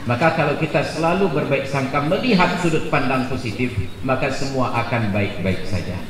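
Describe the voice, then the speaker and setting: A man in his forties giving a jokey, animated sermon at a gathering, building to loud emphatic peaks. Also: mid-range voice, a little fast. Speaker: preacher. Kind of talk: humorous sermon at a religious gathering. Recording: echoey hall with background noise.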